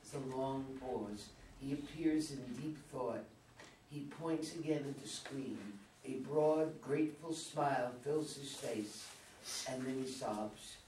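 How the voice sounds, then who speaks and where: A man's voice: medium pitch, takes it slowly, a little mellow. Roomy, distant older male narrator. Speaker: narrator reading stage directions. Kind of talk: scripted narration. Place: meeting room with long table, indoors. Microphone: far camera. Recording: roomy, distant mic, background room noise.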